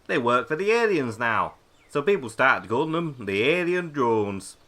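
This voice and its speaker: An actor playing a blunt, grumbling, stubborn bunker-dwelling survivor in an audio drama, with a British accent. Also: male voice, medium pitch, big pitch swings, normal speed, sharp tone. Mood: matter-of-fact. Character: gruff, grumpy bunker-dwelling survivor man, stubborn and wary.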